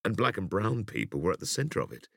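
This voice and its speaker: A man reading an interview essay for an audiobook in a measured, emphatic way with deliberate pauses. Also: on the low side, sing-song, vocal fry, a bit bright, no room sound, studio quality. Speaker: audiobook reader.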